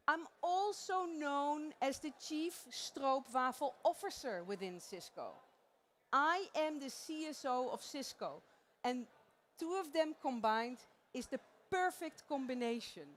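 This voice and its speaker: Chatty Dutch woman presenting on stage. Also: high-pitched. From Netherlands. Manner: playful, chatty, laughs often. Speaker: conference presenter. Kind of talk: live conference talk to an audience. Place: conference theater stage.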